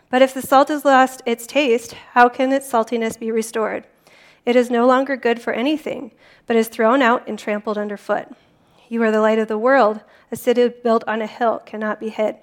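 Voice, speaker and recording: A woman reading scripture aloud from a prepared text. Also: a little high. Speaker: volunteer scripture reader.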